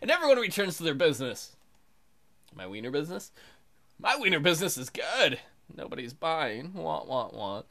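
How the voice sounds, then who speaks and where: A man's voice: on the high side, sing-song, normal speed, creaky, a bit bright. A man hosting a let's play, reading game text aloud and adding casual, sarcastic, joking commentary.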